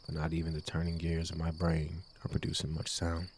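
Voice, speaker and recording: A man narrating a horror game playthrough in a hushed, slow, deliberate reading style. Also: a bass voice, monotone, a little hiss or hum. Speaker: game streamer, channel host.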